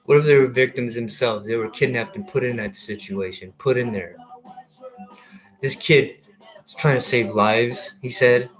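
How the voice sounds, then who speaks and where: A man's voice: medium pitch, normal speed, faint music behind, poor audio. A man in his thirties, a military veteran, rants through a rambling, heated monologue full of swearing and false starts. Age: 30s. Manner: heated, profane, many restarts.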